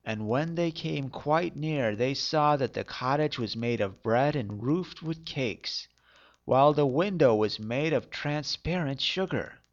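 Male storyteller, mid-pitched voice, expressive fairy-tale narration, dull lo-fi sound. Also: unhurried.

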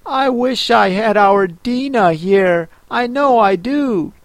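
Eager little girl done by a male reader, high lifted voice, dry close sound. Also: slow pace, no room sound. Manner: eager, pleading. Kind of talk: audiobook character voice.

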